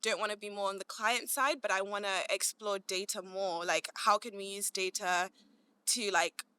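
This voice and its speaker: A woman's voice: mid-range voice, steady tone, normal speed, bright, forceful tone, clean tone. A young British woman chatting informally as an interview guest, often dropping "like" into her sentences. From grew up in Manchester, England; born in Malawi. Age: young adult. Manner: chatty, informal, peppers speech with "like". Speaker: podcast guest, commercial analyst. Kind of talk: casual conversational interview answers.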